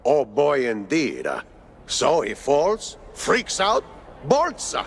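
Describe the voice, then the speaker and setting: An actor playing an excitable, exasperated cartoon circus boss with an Italian accent, theatrical and exclamatory in a video game. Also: male voice, on the high side, extremely slow, creaky, slight background noise, exaggerated voice.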